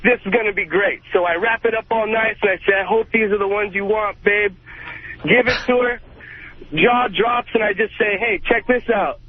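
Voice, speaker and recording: A man's voice: sits high, moderate pace. A man calling a radio show, telling a story in a casual, slangy, bragging way. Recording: muffled phone call to radio.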